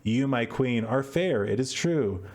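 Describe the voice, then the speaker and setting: A man's voice: mid-range voice, lively intonation, measured pace, darkish tone, close to an everyday voice. A man voicing a truthful, formal magic mirror in a storybook reading. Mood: solemn.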